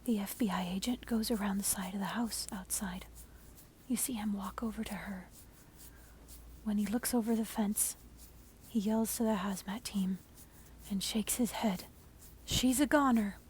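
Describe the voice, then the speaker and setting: A woman telling a scary story in a breathy, unvoiced ASMR whisper, close to the microphone. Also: mid-range voice, rough recording. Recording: soft noise, mic scratching. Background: scratching and layered sounds.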